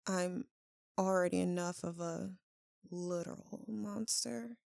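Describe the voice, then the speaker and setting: A melancholy female vampire lover, played by a woman for an ASMR roleplay, speaks extremely slowly in a creaky voice. She is tender and tormented, hesitating with long, heavy pauses. The sound is close and very dry, as if confided to one listener. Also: lowish voice, fairly even intonation, darkish tone, dry recording, full character voice. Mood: hesitant, troubled. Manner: hesitant, halting, earnest, with long dramatic pauses. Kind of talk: slow, intimate ASMR roleplay.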